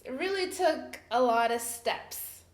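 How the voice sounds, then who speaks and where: A woman's voice: high-pitched, lively intonation, takes it slowly, slight background noise. Chatty woman in an echoey room. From Cameroon, raised in the UAE. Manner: chatty, laughs often, many fillers like "like" and "you know". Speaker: interview guest. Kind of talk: casual personal storytelling.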